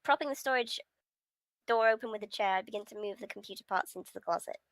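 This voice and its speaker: A woman's voice: mid-range voice, fast talker, a bit bright, dry recording. A woman streamer reading a visual novel aloud, giggly and breaking from the script with casual reactions. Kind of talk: live stream read-aloud with casual asides.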